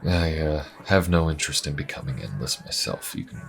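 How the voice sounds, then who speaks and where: A wry, weary inquisitor adventurer, acted by a man with an extremely low voice. He talks casually and dryly, musing with hesitant pauses, as if chatting to one listener in a fantasy audio roleplay. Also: animated, vocal fry, a faint crowd, heavily acted. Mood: musing, mildly disgusted.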